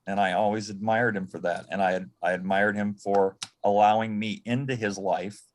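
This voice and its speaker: An American man from Michigan reminiscing as a panel guest in a halting, reflective way, often repeating words. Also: on the low side, fairly even intonation, slight background noise. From Michigan, United States. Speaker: panel guest, former assistant to an entertainer.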